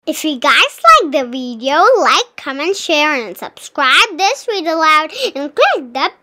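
A children's read-aloud host reading a storybook in an animated, deliberate, sing-song way. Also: high voice.

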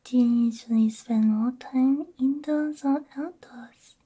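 A woman speaks in a very breathy, whispered ASMR style, close to the mic. Her voice is high and soft, with a slow, unhurried pace. She sounds gentle and reassuring, as if talking someone through a careful procedure. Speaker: ASMR roleplay creator playing a robot repair technician. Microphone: close binaural ASMR microphone.

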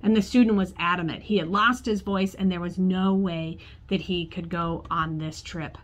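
A woman's voice: lowish voice, steady tone, moderate pace. Woman pastor preaching from her office. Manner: storytelling, acts out quoted voices, gestures with hands. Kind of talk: sermon. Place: church office with bookshelves, indoors.

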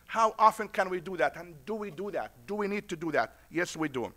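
A man's voice: sits high, animated, fairly quick, pushed and bright. A middle-aged man with a foreign accent, an imam giving a conference speech at a podium, preacher-like and repeating lines for emphasis. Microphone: podium mic.

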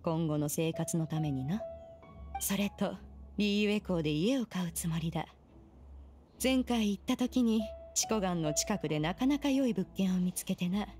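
A woman's voice: on the low side, moderate pace, darkish tone, soft background music, natural voice. A woman playing a proud, aloof immortal master in an anime game, speaking in imperious, formal, archaic language. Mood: composed, lofty. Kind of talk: Japanese anime game voice acting.

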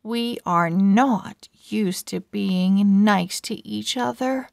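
A woman reading a children's storybook aloud in a careful, deliberate and expressive narrating style. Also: mid-range voice, vocal fry, no echo, studio quality. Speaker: children's book read-aloud reader. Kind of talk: slow expressive storybook narration for early readers.

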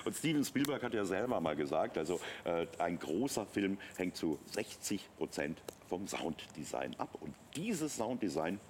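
Deep-voiced, animated male TV shopping presenter. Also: moderate pace, few pauses, a little hiss or hum. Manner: conversational, explanatory. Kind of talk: unscripted product presentation with anecdotes. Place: TV shopping studio set, indoors.

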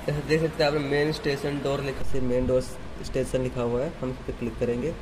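A man with a mid-pitched voice walks through a setup step by step. He speaks in a plain, instructional, unscripted way. The handheld amateur recording has clear noise behind him. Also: monotone, fast. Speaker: installer giving a product tutorial. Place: indoors in a room.

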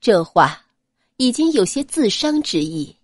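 A woman narrating an audiobook in an expressive, scripted storytelling style. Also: medium pitch, a little slow, slightly rough, dry recording, studio quality.

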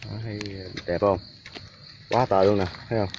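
A man's voice: fairly low pitch, steady tone, normal speed, darkish tone, dull audio. A man with a southern Vietnamese Mekong Delta accent, chatting casually and exclaiming as he films outdoors on a phone, often repeating his phrases. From Ben Tre, southern Vietnam. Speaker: vlogger filming with a phone. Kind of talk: casual outdoor vlog chat. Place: outdoors in a rural coconut garden. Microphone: handheld phone behind the camera. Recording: noisy outdoor phone recording with insects.

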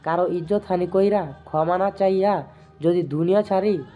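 A man's voice: high voice, steady tone, moderate pace, mellow, close to an everyday voice. A man playing a humble, grateful elderly landlord with a pleading, deferential manner. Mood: apologetic, grateful. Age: older. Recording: music bed under the voice.

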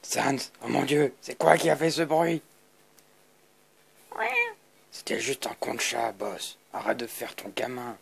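Very gravelly skeleton grouch, acted by a man. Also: medium pitch, steady tone, moderate pace. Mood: grumbling. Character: pompous skeleton boss and his weary, sarcastic brother. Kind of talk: amateur French comic dub.

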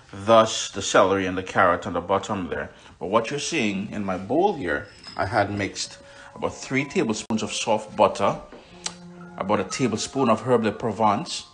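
A man's voice: on the low side, a bit bright. Chatty Caribbean man giving cooking instructions. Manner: chatty, instructive, playful interjections. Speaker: home cook and cooking vlogger. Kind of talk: casual cooking demonstration to followers. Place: home kitchen. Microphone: handheld phone filming the food.

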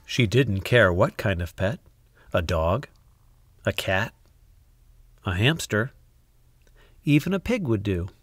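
A man reading a children's story aloud, expressively and deliberately with dramatic pauses. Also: on the low side, expressive, slightly rough, dry recording, clean studio sound, soft background music. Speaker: storybook reader. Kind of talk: scripted children's story narration.

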